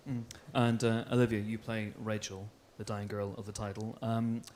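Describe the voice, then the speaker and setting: A man's voice: on the low side, flat delivery, moderate pace, darkish tone. A man working as an interviewer, putting conversational questions to his guests.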